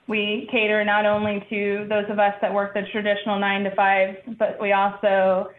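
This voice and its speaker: A woman's voice: mid-range voice, completely flat delivery, normal speed. Businesslike female company representative, assured public-hearing delivery, heavy room echo and narrow, tinny band. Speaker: applicant representative for a store chain. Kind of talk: presentation and answers at a public hearing. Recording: echoey room, thin band-limited sound.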